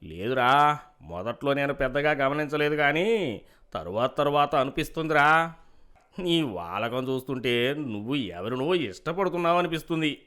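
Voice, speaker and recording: A man's voice: mid-range voice, lively intonation, moderate pace, fairly bright tone, close to an everyday voice. A man playing a scheming older village headman in a moral story, coaxing and patronizing in rustic speech. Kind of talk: moral story character dialogue.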